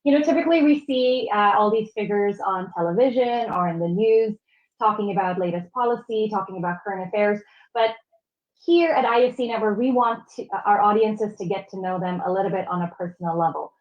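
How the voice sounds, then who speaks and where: A woman's voice: mid-range voice, brisk. A young adult woman hosting a web interview show, upbeat and enthusiastic as she brings in her guest. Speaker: host. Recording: echoey, dull video call audio.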